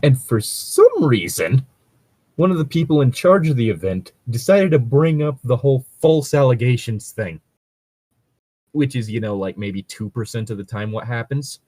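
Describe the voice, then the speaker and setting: A man's voice: medium pitch, expressive, normal speed, mellow, dry recording. A man chatting casually as a co-host, commenting on stories in a rambling, hedging way with casual swearing. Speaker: co-host reacting to read-out stories. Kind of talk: casual chat and commentary on a read story.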